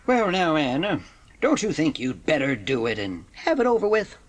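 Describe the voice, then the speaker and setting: A man playing a gentle, shy old farmer in a dramatised audiobook, speaking in a halting, mild way. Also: a little high, huge pitch swings. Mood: hesitant, coaxing.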